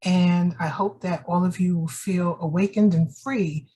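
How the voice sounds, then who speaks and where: A woman from Queens, New York, with a New York accent, chatting and reading her poetry gently. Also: on the low side, fairly even intonation, a little slow, breathy edge, poor audio. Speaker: poet.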